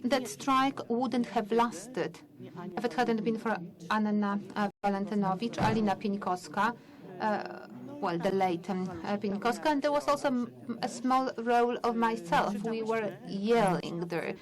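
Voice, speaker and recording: A woman's voice: on the high side, measured pace, a crowd in the background. An older woman giving a personal testimony at a conference, hesitant and full of fillers and restarts. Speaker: conference speaker. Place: large conference hall. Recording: conference hall with audience noise.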